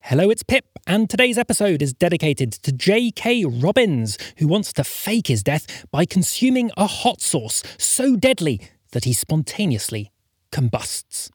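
Male presenter, scripted announcements, breathy-rough voice with plenty of lilt, very dry recording. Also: high-pitched, very animated, a little slow, no room sound. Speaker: podcast presenter.

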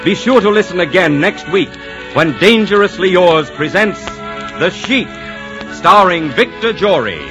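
A man's voice: high voice, animated, measured pace, over music. A man announcing in polished, emphatic 1940s radio style, as if reading sponsor copy and credits.

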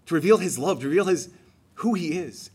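Guy preaching a homily, kinda husky, chatty but punchy on the big lines. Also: fairly high pitch, lively intonation, fairly quick, a little mellow. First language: English. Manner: conversational, emphatic, rising on key phrases.

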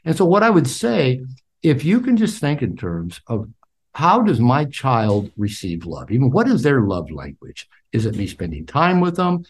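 Older guy in his sixties on a podcast call, pitch jumps around a lot, stumbles on repeated words. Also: normal speed. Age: 60s. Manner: animated, repeats words when starting sentences. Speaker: podcast guest, author. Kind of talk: casual interview talk.

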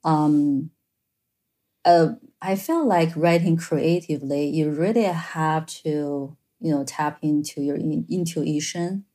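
Slow, low-voiced woman with a Chinese accent. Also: warm tone. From China. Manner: thoughtful, halting, many fillers and restarts. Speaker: author guest. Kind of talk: interview answers.

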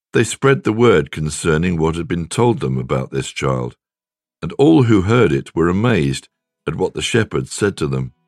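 A man reading a scripted devotional as host, in a measured, reverent manner. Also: low-pitched, no room sound, clean studio sound.

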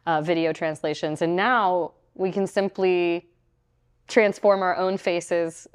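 A woman speaks with a smooth, resonant voice pitched a little low for a woman. She explains new technology in an upbeat, emphatic manner as an interview guest. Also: fairly even intonation, slow pace. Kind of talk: conversational interview.

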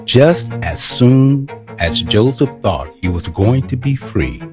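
A man's voice: medium pitch, sing-song, takes it slowly. A man acting as a wry, knowing storyteller in scripted dramatic narration. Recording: music under the narration.

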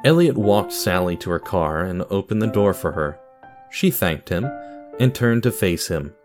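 A man's voice: low-pitched, animated, moderate pace, over music. A man reading scripted narration for an audio drama, telling a story in a dramatic style.

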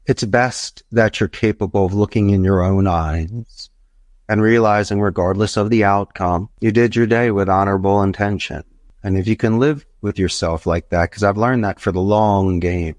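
A middle-aged man, a guest entrepreneur giving emphatic, preachy advice in a podcast interview, his pitch jumping up for emphasis. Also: normal speed, a little mellow, no echo. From Northeast Philadelphia, USA.

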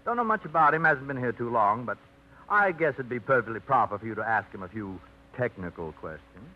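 Man acting stuffy bachelor, fussy, rambling, lively pitch, muffled old radio sound. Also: brisk, lightly acted. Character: fussy stuffy middle-aged male bachelor, set in his ways. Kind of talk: 1950s radio drama acting.

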